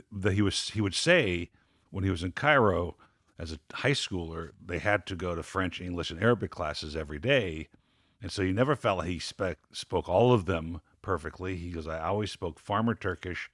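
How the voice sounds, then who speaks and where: Podcast host, middle-aged man, dry studio mic, low-ish voice, energetic and loose. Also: no echo. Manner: upbeat, rambling, many restarts. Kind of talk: casual interview chat.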